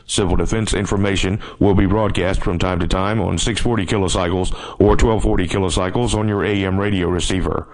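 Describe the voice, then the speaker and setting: A man's voice: deep voice, steady tone, brisk, hardly any pauses, rough recording. A man reading a scripted emergency alert in a formal, official mid-century radio announcer style.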